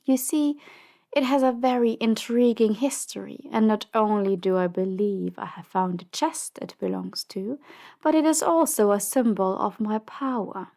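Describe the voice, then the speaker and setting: A woman playing a commanding, power-hungry crime boss in an audio drama, measured and condescending, with polite menace. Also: mid-range voice, creaky, warm tone, natural voice. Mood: cold menace. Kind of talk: audio drama roleplay acting.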